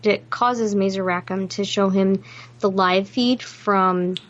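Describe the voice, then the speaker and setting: A woman's voice: medium pitch, fairly even intonation, slow pace. A woman co-hosting a podcast, discussing a film casually and with enthusiasm. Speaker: podcast co-host.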